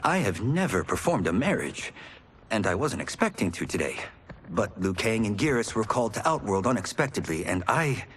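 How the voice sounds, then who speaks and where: An actor playing an earnest, nervous young warrior in a video game, formal and a little stiff. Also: male voice, lowish voice, very animated, normal speed, a bit bright, husky, heavily acted. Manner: formal, sincere, slightly stiff. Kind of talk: dramatic video game cutscene acting. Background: music.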